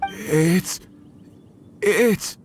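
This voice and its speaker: An actor playing a grave, gentle older village uncle in a video game, speaking solemnly and haltingly. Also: male voice, sits high. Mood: stunned, halting. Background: music.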